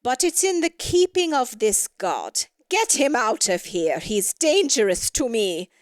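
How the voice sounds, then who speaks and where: Lady doing a cold bossy snow queen, haughty and sharp-tongued, slow and very expressive, storybook style. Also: fairly high pitch, dry recording, voice pushed up. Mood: commanding, urgent. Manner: haughty, commanding, snapping. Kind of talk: storybook character voice in audio theatre.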